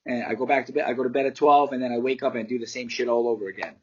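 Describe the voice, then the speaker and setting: A man talks through a candid, loose interview, rambling a little and swearing without a second thought. His voice has a husky edge to it, and the call audio is muffled and lo-fi. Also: medium pitch, steady tone, rapid-fire, a little mellow. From United States. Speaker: MMA fighter and martial arts teacher. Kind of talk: casual interview answers.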